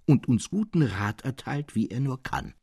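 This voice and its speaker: A man's voice: medium pitch, big pitch swings, moderate pace, gravelly, dark tone, breathy edge, no echo, clean studio sound, exaggerated voice. A man voicing a kindly, simple village peasant in an audio story, earnest and deferential. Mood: respectful, earnest. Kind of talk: literary audio story acting.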